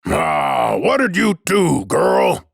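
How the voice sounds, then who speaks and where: Guy doing a gruff loud adventurer dude, very animated, fantasy audiobook. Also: high voice, drawn-out words, no room sound, studio quality, pitched above the performer's own voice, full character voice. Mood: alarmed. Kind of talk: character acting in an audiobook.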